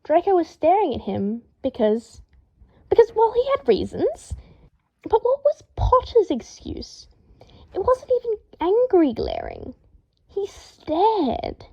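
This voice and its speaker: A woman reading fiction aloud as an expressive, scripted narration, with emphatic delivery. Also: very high voice, takes it slowly, vocal fry, lo-fi sound. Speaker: podfic narrator.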